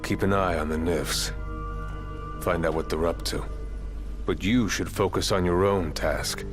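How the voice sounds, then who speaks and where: Middle-aged male, game's veteran commander, low voice, terse authority, score behind. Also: fairly even intonation, measured pace. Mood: stern, instructing. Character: gruff, commanding veteran marshal, stern mentor. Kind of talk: video game voice acting. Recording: music under the voice.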